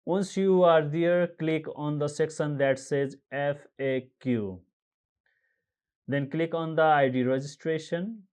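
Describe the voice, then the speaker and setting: A man from Nepal walking viewers through an online form step by step, pausing often with uh and um as he reads out codes. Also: on the high side, a little mellow, smooth. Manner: halting, frequent uh and um fillers, reads out codes slowly. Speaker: tutorial presenter. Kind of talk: screen-recorded how-to walkthrough.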